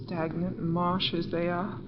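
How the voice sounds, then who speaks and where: A woman's voice: fairly low pitch, flat delivery, slow. An actress playing an innocent, frightened young woman in an old-time radio drama, wary and earnestly pleading. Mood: grim, questioning. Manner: earnest, fearful, breathless pleading. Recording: muffled, noisy vintage radio recording.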